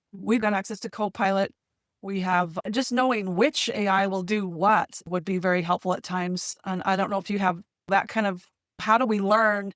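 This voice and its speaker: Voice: medium pitch, a bit bright, no echo, clean studio sound. A webinar attendee asking a long, rambling question and searching for words.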